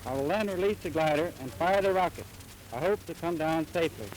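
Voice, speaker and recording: A man's voice: a little high, fairly quick, low-quality recording. A man, a glider pilot, reciting a stiff prepared statement for a 1930s newsreel. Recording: hissy old 1930s newsreel sound.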